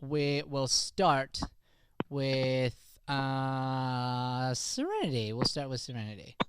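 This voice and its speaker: A man's voice: mid-range voice, expressive, slow pace, noisy background. A man of thirty, an upbeat and joking acting teacher, chatting casually with students in an online class over a video call. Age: 30s.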